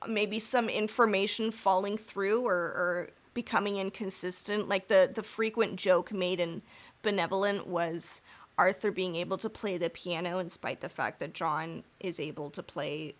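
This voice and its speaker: A woman's voice: fairly low pitch, fairly even intonation, moderate pace. A woman co-hosting a podcast in casual chat. She talks in long, rambling, candid stretches, swears freely and laughs through her own jokes. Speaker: podcast co-host.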